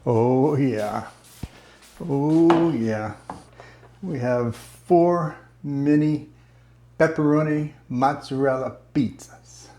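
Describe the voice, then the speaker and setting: A man hosting a home cooking video, chatty and relaxed, talking through each step of a simple recipe. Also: mid-range voice, drawn-out words, a little hiss or hum. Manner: relaxed and chatty, slips into a joking mock-Italian accent. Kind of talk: casual cooking demonstration.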